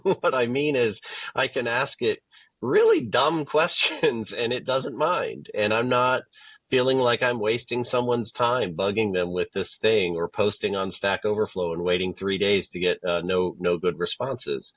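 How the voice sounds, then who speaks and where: A man's voice: lowish voice, animated, a little slow, fairly bright tone, dry recording, rough recording. A man speaking as a tech expert guest on a podcast, explaining his points conversationally with quoted examples. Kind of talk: conversational interview answers.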